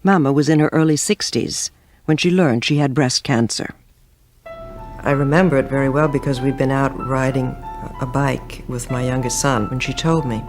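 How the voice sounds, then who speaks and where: Gently reminiscing man over music. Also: mid-range voice, fairly even intonation, moderate pace. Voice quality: gentle, reflective. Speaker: interviewee. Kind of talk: reminiscing interview. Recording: music behind.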